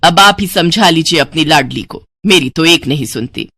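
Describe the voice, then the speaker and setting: A woman voicing a strict middle-aged mother who nags and grumbles in a lowered voice. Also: expressive, normal speed, fairly bright tone, breathy edge, exaggerated voice. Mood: grumbling. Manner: nagging, grumbling.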